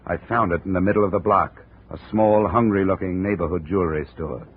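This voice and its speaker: Actor as terse tough-guy investigator, deep voice, old radio, faint hiss. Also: fairly quick, phone-quality sound, natural voice. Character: hard-boiled male insurance investigator. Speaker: lead actor and narrator. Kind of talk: 1950 radio drama narration.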